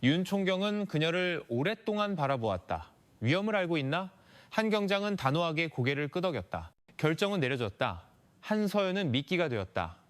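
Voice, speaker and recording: A man delivers scripted dramatic narration with serious suspense. His voice sits fairly high and moves with a very lively, varied melody, as a storyteller would. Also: a little fast, clean tone.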